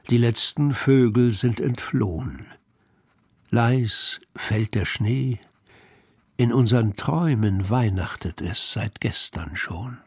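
A man reciting poems in a measured, expressive way for a children's radio program, recorded on a studio microphone. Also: mid-range voice, slightly rough, no room sound. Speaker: poetry reader.

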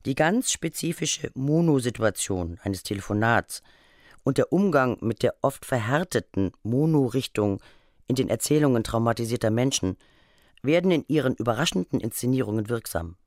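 A man's voice: medium pitch, lively intonation, moderate pace, a little mellow, no echo. A man reading scripted documentary narration for a radio feature, close on a studio microphone. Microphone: close studio microphone, dry sound.

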